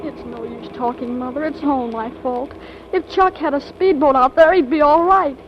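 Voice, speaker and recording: A woman's voice: sits high, normal speed, lightly acted. A young woman playing a theatrical, wounded, impulsive teenage girl in a 1940s radio drama, with breathless, melodramatic exclamations. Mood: anguished. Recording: muffled, noisy old radio broadcast recording.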